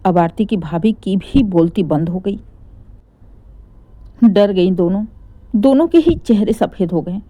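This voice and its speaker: A woman's voice: medium pitch, expressive, a little slow, long pauses, dark tone, no room sound. A woman narrating a family moral story in a conversational, dramatic storytelling manner.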